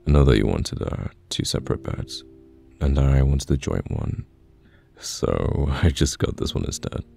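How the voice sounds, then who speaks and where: A man playing a caring, patient boyfriend in an ASMR roleplay, speaking gently and haltingly with reassurance, close to the mic. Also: extremely low pitch, lively intonation, creaky, full character voice. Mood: tender, sleepy. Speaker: voice actor in an audio roleplay. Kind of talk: soft-spoken ASMR boyfriend roleplay, pillow talk. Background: soft piano or guitar music.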